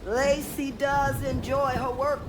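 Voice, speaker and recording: A woman playing a kindly, shrewd elderly neighbor with an American Southern accent, motherly and unhurried, in a cinematic video game. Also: high-pitched, level tone, noisy background. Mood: gentle, knowing.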